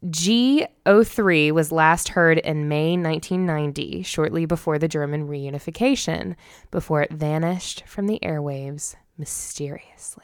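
Low, smooth-voiced American woman podcast host. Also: a little slow, dry recording, studio quality. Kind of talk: scripted narration with casual banter.

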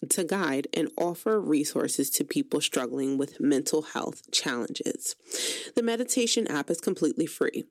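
A woman's voice: low-pitched, a little mellow, dry recording, studio quality. A woman hosting an internet radio show, reading reviews from notes and adding chatty asides with fillers. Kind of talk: casual radio talk, reading app reviews. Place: radio studio.

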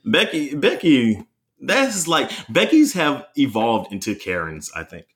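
Casting note: man, playful, pitch swings widely, laughs a lot. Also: unhurried. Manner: laughs often, playful and expressive. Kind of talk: casual chat.

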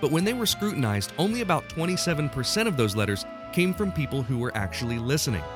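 A man hosting a podcast, reading scripted narration in a clear explanatory way. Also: fairly high pitch, sing-song, fast, music in the background. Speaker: podcast host. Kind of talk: scripted narration, then casual phone chat with guests.

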